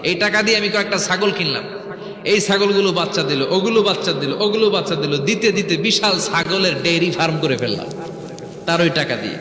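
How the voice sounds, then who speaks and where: Male preacher, bright and forceful, dramatic storyteller who repeats phrases for effect; big echoing hall sound. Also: high voice, fairly quick. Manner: dramatic, repeating phrases, calling on listeners to respond. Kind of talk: animated storytelling sermon, acting out prayers and dialogue. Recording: echoey hall with long reverb.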